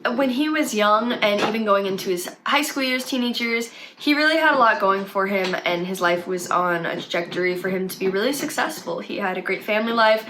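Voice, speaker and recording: A woman's voice: medium pitch, expressive, fairly quick, hardly any pauses, fairly bright tone, slight background noise. Sniffly young woman telling true crime. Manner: chatty, conversational with fillers. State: has a cold, sniffly. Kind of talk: casual true crime storytelling.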